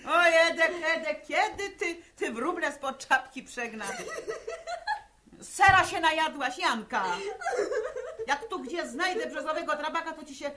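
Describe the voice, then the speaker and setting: A harried farm mother in a 1960s radio play, middle-aged and sharp-tongued. Her voice sits a little high and she grumbles and scolds sarcastically in speech, full of folksy exclamations. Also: expressive, unhurried, slight background noise, heavily acted. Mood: mock-angry scolding. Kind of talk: 1960s radio drama acting.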